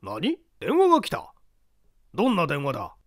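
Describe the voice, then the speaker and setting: A man's voice: on the high side, wildly swooping pitch, normal speed, fairly bright tone, dry recording, clean studio sound, exaggerated voice. A man voicing a stern male judge who interrogates witnesses in a dramatic audiobook reading. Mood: stern, probing. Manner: commanding, interrogating.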